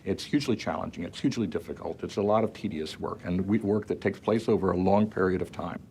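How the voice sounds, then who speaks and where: Man, deep voice, deliberate, emphatic repetition, interview commentary, faint background noise. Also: darkish tone. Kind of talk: documentary interview.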